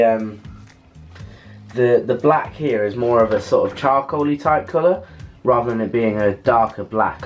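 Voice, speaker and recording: A man in his twenties with a British accent chats casually and haltingly to camera as a vlogger, trailing off at the ends of phrases. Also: medium pitch. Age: 20s. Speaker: vlogger unboxing a purchase. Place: small bedroom, later a bathroom. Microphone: camera a couple of metres away.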